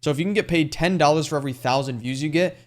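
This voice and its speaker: A young man coaching on YouTube channels, walking through a screen-share tutorial in a fast, casual, salesy way. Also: a little high.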